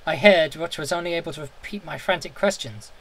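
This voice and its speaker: A man's voice: fairly high pitch, a little fast, fairly bright tone, poor audio. A man narrating a horror story in the first person, intense and theatrical, now and then stumbling over a word. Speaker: audiobook reader.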